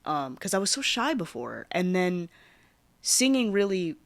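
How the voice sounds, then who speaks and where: A woman's voice: fairly low pitch, very animated, measured pace, dry recording. Chatty young woman, dry close sound. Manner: chatty, filler-heavy, trailing off softly at phrase ends. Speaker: podcast host. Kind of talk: casual personal storytelling.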